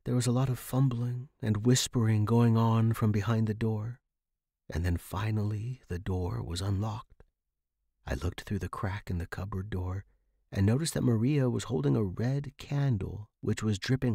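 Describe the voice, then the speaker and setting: A man's voice: lowish voice, moderate pace, vocal fry, warm tone, slightly rough, dry recording, clean studio sound. A man reading scripted horror fiction as the narrator.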